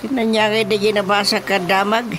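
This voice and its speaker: A man's voice: sits high, level tone, a little fast, lightly acted. An actor playing a plain-spoken, pious village chairman in a radio drama, with an Ilocano accent and an exclamatory, emphatic manner. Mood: dismayed, preaching. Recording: music bed under dialogue.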